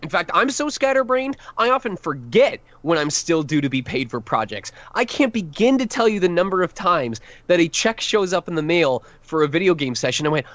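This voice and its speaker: A man's voice: fairly high pitch, sing-song, fairly quick, few pauses, a bit bright, no room sound, dull audio. A man who works as a voice actor, chatting on a podcast, rambling and self-deprecating as he explains casting. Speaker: voice actor guest. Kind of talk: casual podcast chat.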